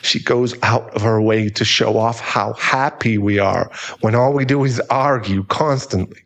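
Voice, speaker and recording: Man acting a troubled, halting divorced father. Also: on the low side, steady tone, fairly bright tone. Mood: weary, frustrated. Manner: halting, stammering, emotional. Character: troubled divorced father, a remorseful bully in therapy. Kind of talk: dramatic audio roleplay acting.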